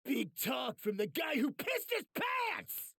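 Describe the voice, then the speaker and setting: A gravelly, raspy voice that swings wildly in pitch, a hot-headed young brawler in an anime game. He is boastful, rough and mocking, recorded dry and close. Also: wildly swooping pitch, slow pace, pushed and bright, no echo, heavily acted. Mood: brash, aggressive. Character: brash, hot-headed, wild boastful young male fighter. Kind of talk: anime game cutscene acting.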